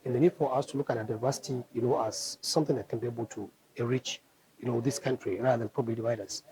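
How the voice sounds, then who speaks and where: A man's voice: mid-range voice, fairly even intonation, fast, mellow, low-quality recording. A man with a Nigerian accent giving an explanatory answer in an interview.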